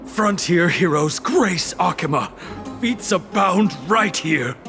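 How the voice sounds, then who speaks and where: A man's voice: sits high, expressive, slow pace, exaggerated voice. A man playing a grateful temple devotee in a fantasy video game, solemn and declamatory, as if proclaiming. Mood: awed, proclaiming. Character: reverent, grateful male temple devotee of a fantasy holy city. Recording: music behind the voice.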